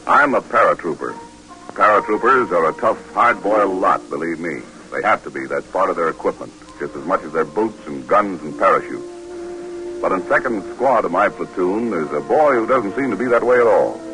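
An actor playing a steady paratroop lieutenant, narrating his war memories admiringly in a 1940s radio drama. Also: low-pitched, normal speed, music in the background, low-quality recording. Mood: reflective, grave. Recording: old radio recording.

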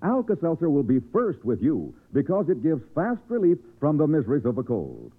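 A man's voice: wildly swooping pitch, a little fast, slightly rough. A man with an American accent reading a 1940s radio commercial as a polished, emphatic announcer. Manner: emphatic, polished sales pitch. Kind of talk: 1940s radio advertisement read. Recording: old radio broadcast, narrow phone-like sound.

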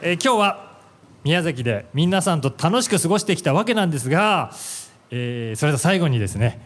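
A man's voice: a little high, very animated, brisk, fairly bright tone. A man hosting a live stage event, chatting politely and prompting others as he gives greetings. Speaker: event host and radio drama actor. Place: public event stage in a shopping complex. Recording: live event PA with music behind.

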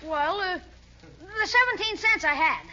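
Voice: very high voice, animated, measured pace, noisy background. A performer playing a cheeky, scheming schoolboy full of glib, wheedling excuses in a 1940s radio comedy style. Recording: dull old radio recording.

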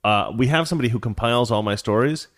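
A man's voice: mid-range voice, fast, no room sound, clean studio sound. A man hosting a casual commentary show, rambling and opinionated with a joking edge. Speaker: show host.

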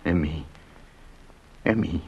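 An actor playing an anguished, devoted immigrant husband in an old radio drama, speaking in a halting, brooding, intense way. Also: male voice, low voice, mellow, muffled recording, slight background noise. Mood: urgent pleading. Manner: halting, brooding, intense delivery with repeated words. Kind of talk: old dramatic radio-play acting with first-person narration.

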